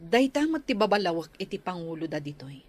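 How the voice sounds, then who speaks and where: A woman's voice: lowish voice, sing-song, quick pace. A woman playing a caring, practical visiting nurse in a radio drama, with a Filipino accent and chatty manner. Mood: concerned.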